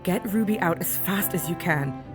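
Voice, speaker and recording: A woman's voice: on the low side, moderate pace, music in the background, close to an everyday voice. An actress playing a guarded, anguished mother in prison, speaking with earnest urgency in an audio drama. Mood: grave, urgent. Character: guarded, anguished imprisoned mother hiding evidence.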